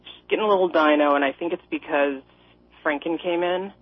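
A woman's voice: low-pitched, brisk, a little hiss or hum. A woman from Minnesota calling in to a radio show, rambling and laughing with a sarcastic edge. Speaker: caller. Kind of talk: call-in radio chat. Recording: dry, muffled phone-in audio.

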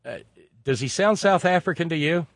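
A man's voice: a little high, animated, moderate pace, gravelly, dry recording. A man hosting a casual live show, rambling with many fillers and restarts. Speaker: host. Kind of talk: casual livestream talk.

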